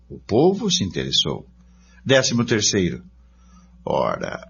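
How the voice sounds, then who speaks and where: A man reads scripted religious narration in a declamatory, emphatic way. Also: medium pitch. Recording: dull lo-fi audio with faint noise.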